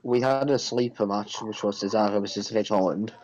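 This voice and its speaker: A man co-hosting a podcast in casual recap chat, blunt and argumentative, quick to cut in. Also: fairly low pitch, fairly even intonation, darkish tone, muffled recording. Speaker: podcast co-host.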